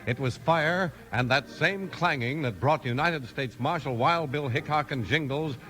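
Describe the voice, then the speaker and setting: A man's voice: on the high side, unhurried, fairly bright tone. A man announcing and narrating in a hearty, emphatic, polished 1950s radio style. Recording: vintage 1950s radio broadcast. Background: music.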